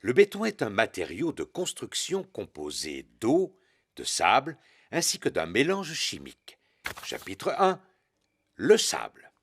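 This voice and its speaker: A man's voice: medium pitch, huge pitch swings, unhurried, a little hiss or hum. A man voicing an easygoing father pig in a children's cartoon dub, ponderous and good-natured. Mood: solemn reading.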